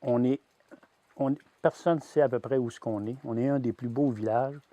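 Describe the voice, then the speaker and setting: A man's voice: mid-range voice, steady tone, moderate pace, mellow, no room sound. Hesitant Québécois farmer in his sixties. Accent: Québécois French. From Quebec. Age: 60s. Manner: hesitant, many "euh" fillers and restarts. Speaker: grain-fed veal farmer. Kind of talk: personal testimony.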